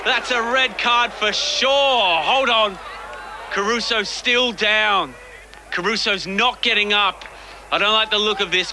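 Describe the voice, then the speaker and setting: A man's voice: high voice, exaggerated voice. An actor playing an urgent, dramatic male soccer commentator calling a match in a broadcast. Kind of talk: live sports commentary. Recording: noisy archive-style broadcast over loud stadium crowd.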